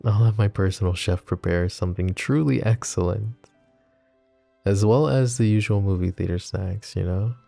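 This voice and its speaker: A man playing a flirty, possessive mafia boss who is smitten and vulnerable, speaking in soft, teasing, intimate ASMR roleplay. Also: on the low side, moderate pace, no room sound, faint music behind. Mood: warm, flirty. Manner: soft teasing banter with breathy chuckles.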